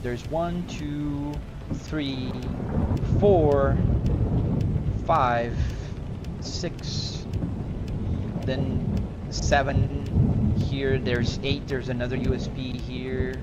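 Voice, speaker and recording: A man's voice: medium pitch, very slow, pauses often, lo-fi sound, heavy background noise. A man from Brazil with a Brazilian accent, chatting casually on a live stream while driving a truck game and answering viewers. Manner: relaxed, rambling, answers chat questions between driving remarks.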